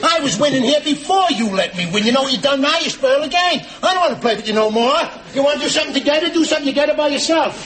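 Sitcom husband: middle-aged New York working man, stubborn, badgering, high-pitched, over a noisy audience track. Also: animated, rapid-fire, hardly any pauses, a bit bright. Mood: exasperated, mocking. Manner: blustering, ungrammatical, badgering. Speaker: sitcom actor. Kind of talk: sitcom acting before a studio audience.

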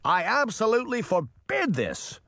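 A man voicing a stern, protective father who argues forcefully. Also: fairly high pitch, very animated, a little fast, dry recording, clean studio sound, voice pushed up. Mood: angry.

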